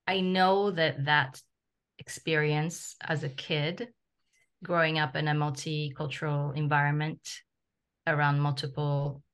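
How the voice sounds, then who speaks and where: A woman's voice: low-pitched, little pitch movement, a little slow, a bit bright, clear, resonant tone. A woman travel journalist whose first language is French, grew up in the Ivory Coast, and answers interview questions reflectively with many ums and uhs.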